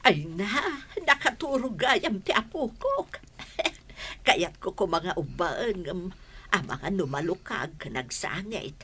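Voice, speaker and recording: An animated village mother and new grandmother in a radio drama. Her Ilocano-accented voice is bright and very varied in pitch, with faint noise behind it. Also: takes it slowly, heavily acted. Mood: doting.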